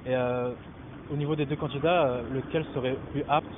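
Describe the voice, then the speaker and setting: A man's voice: mid-range voice, steady tone, a little fast. A young man interviewing people on the street, asking his questions politely and formally. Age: young adult. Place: outdoors on a city street. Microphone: holding a handheld mic. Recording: phone-like sound, street noise.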